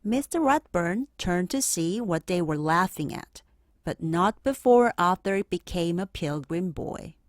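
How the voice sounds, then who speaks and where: Female children's read-aloud narrator, lively intonation at a slightly slow pace, expressive phrasing. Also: on the low side, dry recording, studio quality. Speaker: storybook narrator.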